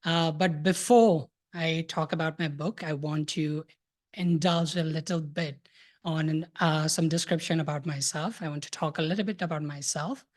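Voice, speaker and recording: A man in his thirties from Nepal, giving a hesitant, soft-spoken talk about his thesis book to an audience. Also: fairly high pitch, steady tone, moderate pace. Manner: soft-spoken, hesitant with many filler sounds. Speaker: thesis presenter.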